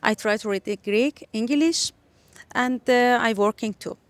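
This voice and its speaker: A woman from Iran introducing herself on a panel, halting and searching for words. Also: a little high, no room sound. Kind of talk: self-introduction.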